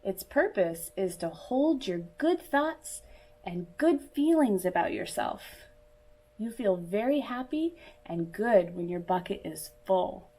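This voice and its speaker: A woman reading a picture book aloud to young children in a deliberate, teacherly manner, as if speaking directly to her listeners. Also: mid-range voice, animated, warm tone. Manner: asks listeners questions and pauses for answers. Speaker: children's story reader.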